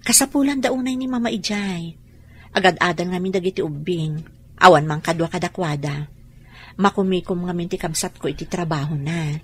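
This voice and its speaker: An actress playing a gentle, wry young wife in a radio drama. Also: female voice, on the low side, normal speed, slight background noise, lightly acted. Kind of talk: Ilocano radio drama acting.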